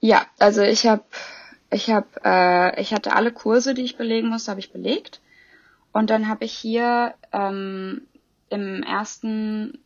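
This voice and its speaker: Young woman, interviewee, gravelly low-ish voice, chatty, dull lo-fi recording. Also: fairly even intonation, unhurried, no room sound. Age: 20s. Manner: chatty, many fillers and restarts. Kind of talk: casual interview chat. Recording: dull lo-fi dry sound.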